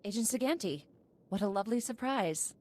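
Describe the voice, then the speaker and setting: A woman's voice: medium pitch, expressive, brisk, a little mellow, natural voice. A woman playing a tough, sardonic smuggler running a warehouse in a video game, blunt and wry. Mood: sarcastic.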